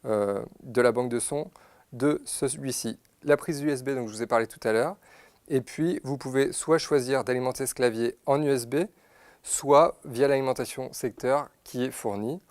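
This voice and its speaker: A man giving an unscripted, methodical product demonstration, pausing to find his words as he explains each step. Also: mid-range voice, darkish tone, no room sound. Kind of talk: unscripted instructional product demo. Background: synthesizer demo sounds.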